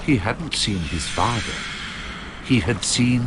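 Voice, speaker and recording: A man narrating video game cutscenes in a scripted, storybook style, slow and dramatic. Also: fairly low pitch, lively intonation, heavy background noise. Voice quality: slow and dramatic, with big swings in the voice.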